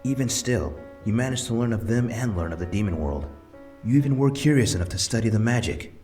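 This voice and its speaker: An actor playing a wise, gentle grandfather spirit in a visual novel, speaking calmly and reassuringly, with soft music behind. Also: fairly low pitch, a little fast, close to an everyday voice. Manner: calm, tender, reassuring.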